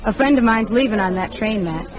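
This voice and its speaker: A woman's voice: fairly high pitch, animated, brisk, over music, close to an everyday voice. A woman playing a cool, taunting American frontier outlaw who schemes, in an old radio Western. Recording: muffled old radio recording, busy crowd behind.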